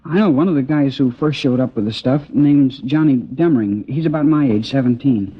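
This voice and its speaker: An actor playing a seventeen-year-old boy, talking in a casual, slangy, halting way in an old radio crime drama. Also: mid-range voice, husky, natural voice. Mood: hesitant, matter-of-fact. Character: seventeen-year-old boy informant, hesitant but cooperative. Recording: dull old radio recording.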